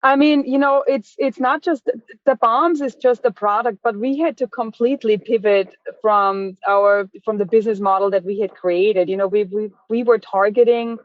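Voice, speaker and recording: A woman's voice: on the high side, normal speed, no room sound. A woman, telling her story as an interview guest in a chatty way, full of restarts. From Austria. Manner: chatty, many restarts and fillers. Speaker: guest, chocolate business owner. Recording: dry tinny phone-like line.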